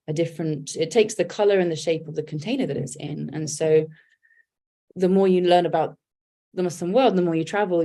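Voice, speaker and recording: A woman's voice: low-pitched, warm tone. A woman, a poet and writer talking candidly and thoughtfully in an interview, with many restarts. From England. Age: 40s. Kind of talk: reflective conversational interview.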